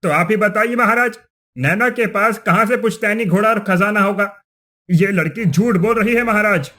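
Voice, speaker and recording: A greedy, scheming village headman from a cartoon folk tale, acted by a man. The voice is strikingly high for a man. He is nervous and flustered, tripping over words as he pleads. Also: normal speed, pushed and bright. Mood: flustered accusing. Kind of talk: Hindi cartoon moral-story dialogue.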